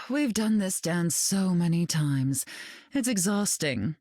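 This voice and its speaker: Haughty low-voiced telepath queen. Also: lively intonation, takes it slowly, a little mellow, no room sound, studio quality. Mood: dry, condescending. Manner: arch, condescending, drawling. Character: haughty, flirtatious mutant telepath queen, glamorous and cutting. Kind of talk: video game voice acting.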